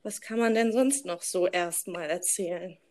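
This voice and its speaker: A woman's voice: lowish voice, moderate pace, darkish tone. A woman in her early twenties co-hosting a podcast in a casual, unscripted chat, prompting her partner along. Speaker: podcast co-host.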